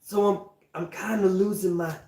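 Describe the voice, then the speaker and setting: A man's voice: sits high, fairly even intonation, normal speed, a little mellow. Rambling man losing his voice. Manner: rambling, frequent throat-clearing, easy laughter. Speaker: livestreaming home cook. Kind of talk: casual livestream chat. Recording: echoey room, mic at a distance.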